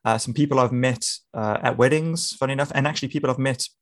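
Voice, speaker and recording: A man's voice: medium pitch, fast talker. A man hosting a podcast, friendly in manner, often laughing and restarting his words. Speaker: podcast host. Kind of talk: casual interview.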